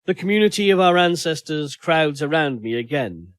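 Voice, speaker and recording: Expressive man narrating a story, dry close sound. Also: fairly high pitch, normal speed, clean tone, no echo. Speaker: audiobook narrator. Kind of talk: scripted literary story narration.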